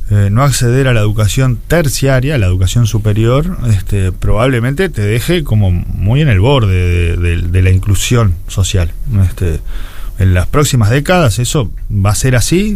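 A man's voice: on the low side, a little mellow. A man in his forties with a Uruguayan accent, giving explanatory answers as an interview guest, often repeating words as he searches for phrasing. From Montevideo, Uruguay. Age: 40s. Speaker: interview guest, education official.

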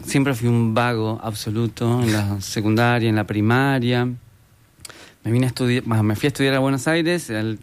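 A young man with an Argentine accent talks loosely and with humour about his work as a painter and illustrator. His voice is smooth and clear, sitting in an ordinary middle pitch. He wanders a little as he talks and often makes fun of himself. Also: normal speed. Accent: Argentine Spanish. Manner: relaxed, rambling, self-deprecating. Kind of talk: casual interview chat.

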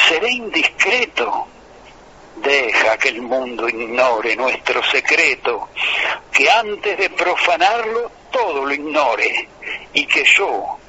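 A man calling in to a radio show, reciting poetry slowly and earnestly. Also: on the high side, sharp tone. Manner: earnest, lingering on lines. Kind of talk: slow, emotive poetry recitation. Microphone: telephone. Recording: tinny phone line with faint noise.

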